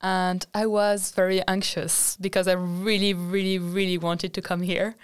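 A young woman from France, a student guest who tells her stories in a lively, animated way. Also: mid-range voice, unhurried, clean tone.

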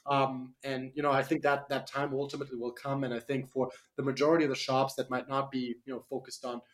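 A man's voice: medium pitch, level tone, quick pace. A man from Germany with a slight German accent, a startup CEO answering interview questions on radio, hesitant with many fillers and restarts.

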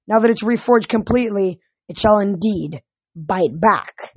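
Reader putting on a menacing titan lord, crisp and imperious. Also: medium pitch, measured pace, a little mellow, dry recording. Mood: menacing, proud. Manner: imperious, taunting. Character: Kronos, menacing titan lord in a young man's body.

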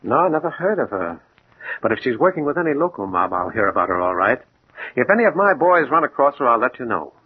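An actor playing a tough, guarded American gang boss, curt and sardonic, in 1940s radio crime drama style. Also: male voice, medium pitch, very animated, fast talker, slight background noise, close to an everyday voice. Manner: curt, hard-boiled, sardonic. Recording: tinny, narrow old radio recording.

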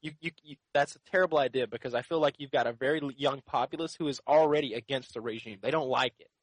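A man's voice: mid-range voice, fast talker, no echo, rough recording. Assertive man arguing over a dry mic. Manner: assertive, argumentative. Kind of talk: casual political debate.

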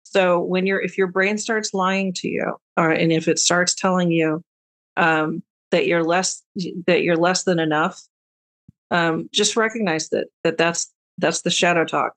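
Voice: medium pitch, fairly even intonation, a little slow, fairly bright tone. A podcast co-host talking candidly and casually, with frequent "um"s and offhand swearing. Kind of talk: casual chat.

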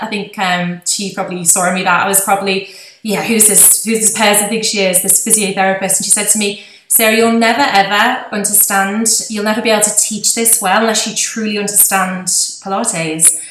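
A woman's voice: medium pitch, a bit bright, echoey room, slight background noise, low-quality recording. A woman in her forties with a Liverpool accent, chatting as a guest on a podcast interview and laughing often. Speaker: guest, physiotherapist.

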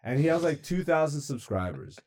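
A man's voice: a little fast, no room sound. Loose, profane man hosting a podcast. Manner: loose, profane, animated, swings between loud hype and low mumbles. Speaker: comedian and podcast host. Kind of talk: casual podcast chat. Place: studio.

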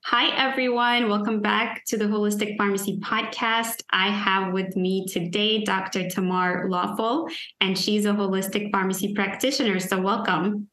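A woman hosts a podcast in a calm, measured voice. She reads her guest's introduction at an even pace and then moves into relaxed conversation. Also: medium pitch, slight background noise. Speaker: podcast host. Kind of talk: scripted intro then casual interview.

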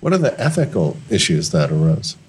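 Deep-voiced male interviewer. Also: big pitch swings, a little fast, a little mellow, breathy edge. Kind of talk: interview questions.

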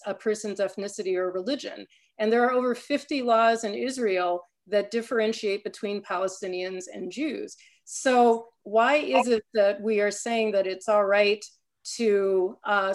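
A woman speaks as a panel guest in a mid-pitched voice. She argues her points with emphasis and energy. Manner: impassioned, emphatic. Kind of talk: panel discussion.

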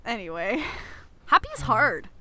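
A woman's voice: fairly high pitch, very animated, normal speed, bright, forceful tone, poor audio. A young woman co-hosting a podcast, speaking with a slightly stuffy, nasal sound, chatty and sarcastic, using lots of fillers. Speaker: podcast co-host. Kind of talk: casual chat.

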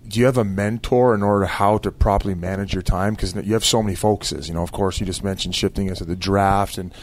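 A man's voice: deep voice, fairly even intonation, fast. A man on a sports podcast panel, putting questions to a guest in casual conversation.

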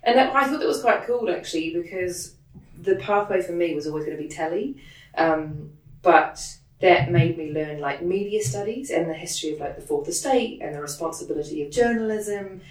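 A low-pitched woman with a New Zealand accent chats as an interview guest, quick and animated, with plenty of "like". She sits a little back from the mic, so her voice carries a roomy echo. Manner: quick, animated, peppered with "like" and laughs. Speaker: interview guest, production head. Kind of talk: casual interview chat. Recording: roomy sound, distant from the mic.

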